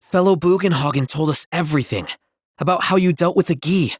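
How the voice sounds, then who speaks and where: A man's voice: fairly high pitch, a little fast, husky, no echo, clean studio sound. A man playing a friendly, earnest town local in a video game. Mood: welcoming. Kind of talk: video game character acting.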